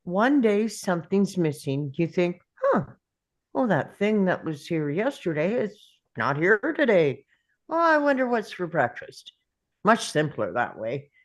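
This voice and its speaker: Woman reading as a sardonic old uncle, animated delivery. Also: lowish voice, unhurried. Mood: grumbling, sardonic. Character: gruff, sardonic old uncle, grudgingly fond of his nephew. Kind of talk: script table read.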